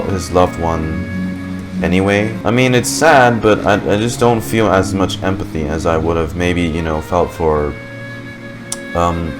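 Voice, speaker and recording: Music plays clearly behind a man with a fairly low voice. He hosts a gaming reaction, talking informally and rambling through his thoughts as they come. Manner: rambling, informal, thinking aloud. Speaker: gaming reaction video host. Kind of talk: casual reaction commentary. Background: game music.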